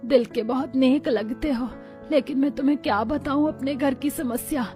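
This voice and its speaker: A woman's voice: sits high, normal speed, dark tone, close to an everyday voice. Cartoon role: poor blind flower-selling mother, played by a woman. Plaintive, humble, music underneath. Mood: humble sorrow.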